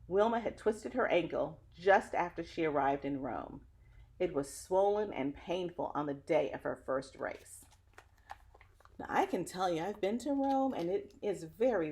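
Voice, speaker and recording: A woman's voice: low voice, darkish tone. A woman reading a picture book aloud expressively and dramatically, adding chatty asides straight to her listeners. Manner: dramatic, engaging, addressing listeners directly. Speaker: read-aloud storyteller.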